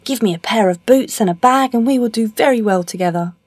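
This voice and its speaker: A woman's voice: a little high, a little fast, a little mellow, dry recording, voice pushed up, lightly acted. A woman voicing a clever male storybook cat, eager and persuasive, with courtly, emphatic speech. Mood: reassuring.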